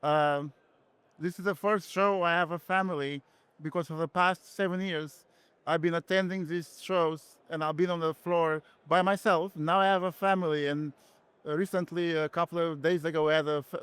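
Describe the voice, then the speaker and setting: A middle-aged man with a Romanian accent, telling his own story earnestly and haltingly as an interview guest. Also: on the high side, fairly even intonation, a bit bright, clean tone, no room sound. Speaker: interview guest, company founder. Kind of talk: personal story in a podcast interview.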